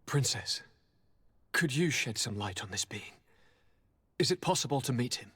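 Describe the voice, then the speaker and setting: An actor playing a sick young nobleman governor in a video game, formal, theatrical and pleading, with a breathy, suffering delivery. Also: medium pitch, animated, moderate pace, a bit bright. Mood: grave. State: suffering, weakened by sickness. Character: ailing young nobleman governor, courteous, anguished, devoted. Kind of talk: dramatic video game acting. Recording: long hall-like reverb.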